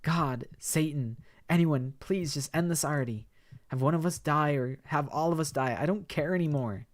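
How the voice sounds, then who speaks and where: A man's voice: on the high side, moderate pace, voice pushed up, close to an everyday voice. A man voicing a despairing young woman who pleads in a shaky, worn-out way. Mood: desperate. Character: despairing young woman trapped in a time loop.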